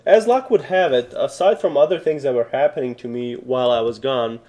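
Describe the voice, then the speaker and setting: A man's voice: mid-range voice, a little fast, mellow. A man from Serbia giving a casual coding tutorial, rambling with many fillers and self-corrections. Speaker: programming tutor.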